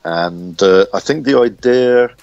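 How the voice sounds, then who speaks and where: A man being interviewed as a comic book writer, chatting casually in a halting way and often repeating words as he thinks aloud. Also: medium pitch, animated, creaky, darkish tone, dry recording. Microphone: smartphone recorder. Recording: dull lo-fi phone recording.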